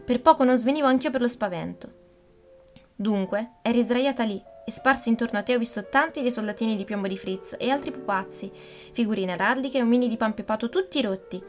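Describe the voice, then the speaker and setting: A woman's voice: on the high side, fairly quick, soft background music, close to an everyday voice. A woman voicing a worried, firm mother who scolds and soothes her daughter, in a storybook reading. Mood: scolding.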